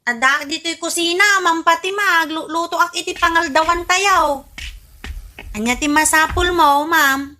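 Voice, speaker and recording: A high, sharp-edged voice gives us a sassy housemaid who talks back while sounding polite on the surface. The accent is Ilocano Filipino and the style is radio comedy-drama. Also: female voice, a little slow, faint music behind, heavily acted. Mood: sassy, mock-polite. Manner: sassy, mock-deferential.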